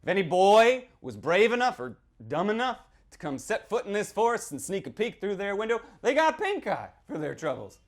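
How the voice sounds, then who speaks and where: Guy doing a folksy small town storyteller, spooky witch tale, sly and theatrical, voice goes all over from whispery to loud. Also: sits high, big pitch swings, normal speed, few pauses, voice pushed up. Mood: fervent, ominous. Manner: theatrical, confiding, swinging from hushed whispers to loud declaiming. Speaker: author reading from own novel. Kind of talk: dramatic live reading of a horror story.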